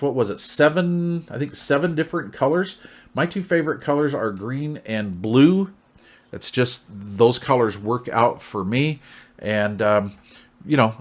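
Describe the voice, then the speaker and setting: A middle-aged man giving a casual hobbyist product review in a plain-spoken, conversational and reassuring way. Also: medium pitch.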